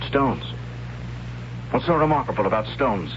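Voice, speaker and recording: Man playing an animated, questioning young son. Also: mid-range voice, normal speed, lightly acted. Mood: incredulous. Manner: intense, probing, dramatic. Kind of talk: 1970s radio drama acting. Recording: dull, noisy 1970s radio recording.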